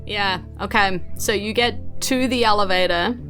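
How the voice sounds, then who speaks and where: A woman's voice: mid-range voice, a little slow, fairly bright tone, soft background music. A woman with a New Zealand accent, improvising narration as a game master in a casual, conversational way. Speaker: game master, podcast host.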